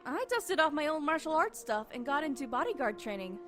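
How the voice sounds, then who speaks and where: Woman playing a high-voiced, breezy bodyguard trainer. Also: very animated, a little fast, fairly bright tone. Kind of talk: audio comic dramatization. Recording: clear music behind the voice.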